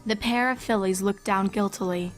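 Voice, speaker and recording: A woman's voice: medium pitch, moderate pace. A woman reading scripted fan fiction as a storybook narrator. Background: background music.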